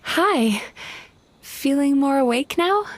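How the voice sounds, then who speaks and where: A woman voicing a gentle, polite young dream-eating spirit who works as a healer in a video game, speaking courteously and with soft, apologetic hesitation. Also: high-pitched, lively intonation, takes it slowly, no echo. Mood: friendly, explanatory. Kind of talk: English video game voice acting. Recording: background music under voice.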